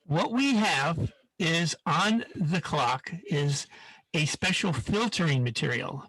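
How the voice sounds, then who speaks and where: Male science educator, slightly high creaky voice, dry close sound, repeats himself emphatically while demonstrating. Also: a bit bright, no room sound, low-quality recording. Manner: repetitive, emphatic, often checking with "okay?". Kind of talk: live online demonstration, informal teaching.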